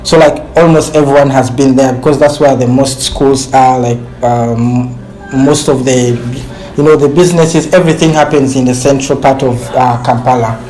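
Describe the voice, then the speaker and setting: A man's voice: medium pitch, steady tone, normal speed, darkish tone, poor audio. Ugandan man hosting a casual chat. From western Uganda. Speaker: host. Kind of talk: casual group interview.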